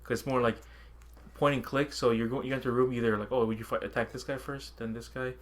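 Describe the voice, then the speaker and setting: A man's voice: medium pitch, fairly even intonation, quick pace. A man co-hosting a podcast, chatting casually and informally about games and films, sometimes swearing or chuckling. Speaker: podcast co-host.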